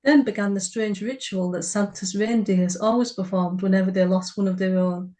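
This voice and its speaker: A woman's voice: mid-range voice, fairly even intonation, normal speed, warm tone. A woman co-hosting a writers' podcast, reading short fiction aloud. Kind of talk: story reading.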